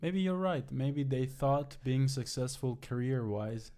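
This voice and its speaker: A man's voice: medium pitch, lively intonation, unhurried, warm tone. Iranian-born guy chatting on a podcast, earnest and thoughtful. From Iran. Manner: reflective, earnest, quotes his mother. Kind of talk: casual conversation.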